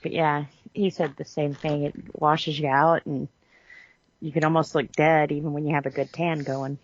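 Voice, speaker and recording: Low-voiced woman in casual podcast chat. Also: little pitch movement, moderate pace, dull audio. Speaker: podcast co-host.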